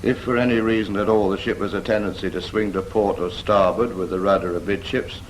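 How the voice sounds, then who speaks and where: A man playing a patient, brisk Royal Navy instructor in a 1940s British training film, firm and fond of prompting with questions. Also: deep voice, steady tone, close to an everyday voice. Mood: instructive. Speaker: naval steering instructor. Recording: noisy 1940s film soundtrack.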